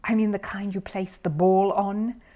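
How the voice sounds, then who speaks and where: A woman's voice: medium pitch, flat delivery, measured pace, highs cut off, close to an everyday voice. Woman putting on a blustering, grumpy man. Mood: gruff, bossy. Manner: blustering, growling, bellowing. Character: grumpy, bossy, short-tempered male neighbour golfer.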